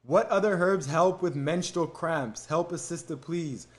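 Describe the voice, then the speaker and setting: This is a young man hosting a live stream in an easygoing, chatty way. His voice sits a little higher than most men's, and he stops often to read out comments and thank viewers. His speech is loose and casual, with fillers between answers. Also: a little slow. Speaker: livestream host answering viewer questions.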